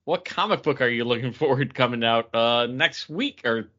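A man's voice: medium pitch, moderate pace, fairly bright tone, muffled recording. A man co-hosting a podcast in informal, inquisitive casual chat. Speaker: podcast co-host.

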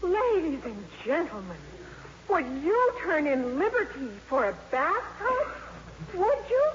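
Teenage schoolgirl, earnest and emphatic, very animated voice, dull noisy vintage radio recording. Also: very high voice, slow pace, exaggerated voice. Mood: impassioned. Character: earnest, impassioned teenage high-school girl debater. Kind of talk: 1950s radio acting. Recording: dull, noisy old radio broadcast, hall reverb.

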